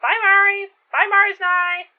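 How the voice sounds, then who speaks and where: A woman's voice: extremely high pitch, flat delivery, moderate pace. A woman playing a teasing, caring young friend in a naturalistic audio drama, casual and wry in manner. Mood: playful, fond. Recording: thin, phone-like narrow band.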